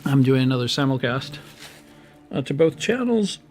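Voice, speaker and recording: Male streamer with a weak, strained voice, mid-range pitch, rambling live commentary at a desk boom mic, with faint music behind. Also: big pitch swings, normal speed, darkish tone. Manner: chatty and rambling, with many fillers and restarts. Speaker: streamer and game host. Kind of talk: casual live-stream commentary while playing. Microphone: desk microphone on a boom arm.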